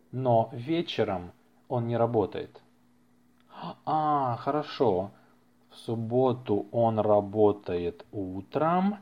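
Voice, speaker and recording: A man hosting a language-lesson podcast, reading practice dialogues with careful enunciation and repeating phrases for learners. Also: medium pitch. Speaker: language teacher and podcast host. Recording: slightly muffled lo-fi podcast recording.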